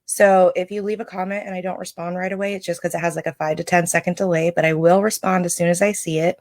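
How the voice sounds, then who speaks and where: A woman's voice: on the low side, little pitch movement, fast, darkish tone, no echo, clean studio sound. Scratchy-voiced woman hosting a card-reading livestream. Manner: chatty, reflective, talks straight to viewers. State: scratchy throat, clearing it. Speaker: livestream host reading oracle cards.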